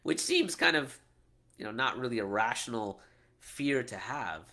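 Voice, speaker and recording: Bright-voiced man teaching casually. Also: mid-range voice, animated, measured pace. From United States. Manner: conversational, frequent "right?" tag questions and restarts. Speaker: Islamic teacher. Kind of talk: casual Q&A talk.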